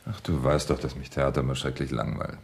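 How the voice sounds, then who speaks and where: An actor playing an evasive, placating husband with a guilty secret in a radio crime drama, by turns soothing and impatient. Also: male voice, rumbling low, little pitch movement, fast talker. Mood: coaxing, evasive.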